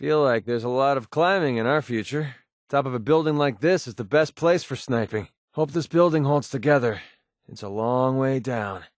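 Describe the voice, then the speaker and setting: A man's voice: medium pitch, moderate pace, no echo, studio quality, natural voice. An actor playing a cynical young mercenary gunman in a video game, talking in a casual, sardonic, world-weary way. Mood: dry, sarcastic. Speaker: game companion character. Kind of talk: scripted video game dialogue.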